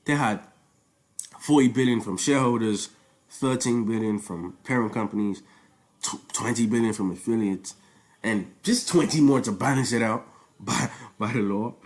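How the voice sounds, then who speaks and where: A man's voice: lowish voice, normal speed, darkish tone. Young male, Nigerian accent, informal student presenter, conversational and slangy, leans on repetition for emphasis. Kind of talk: informal spoken project presentation.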